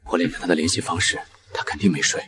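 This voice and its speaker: An actor playing a polite, self-assured young actor with a husky voice in an animated drama. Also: male voice, sits low, fast talker, crowd noise, close to an everyday voice. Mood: concerned. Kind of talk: dramatic character dialogue.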